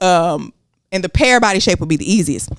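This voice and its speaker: Woman, Southern accent, casual podcast chat, animated, dry sound. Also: on the low side, fairly quick, dry recording. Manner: animated, colloquial storytelling with slang and cursing. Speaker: podcast co-host.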